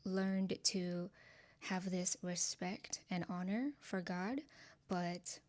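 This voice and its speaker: Slow, soft-spoken woman from Nepal. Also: on the low side, steady tone. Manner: soft-spoken, earnest, with frequent ums and light laughs. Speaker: channel host sharing testimony. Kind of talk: personal testimony to camera.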